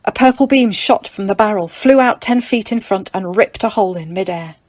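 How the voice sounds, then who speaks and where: A woman's voice: medium pitch, fairly quick, a little mellow, dry recording. Woman narrating fiction, close dry sound. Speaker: audiobook narrator.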